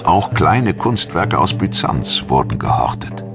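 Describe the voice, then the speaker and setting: A man reading scripted historical documentary narration in a measured, solemn and formal manner. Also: sits low, sing-song, creaky. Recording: music behind the voice. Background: background music.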